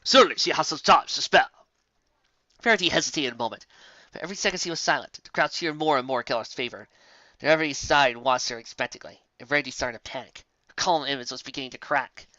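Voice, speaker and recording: A storyteller narrating fiction in an animated, theatrical way. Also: mid-range voice, fast talker, no echo, highs cut off. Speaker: storyteller, fan fiction reader.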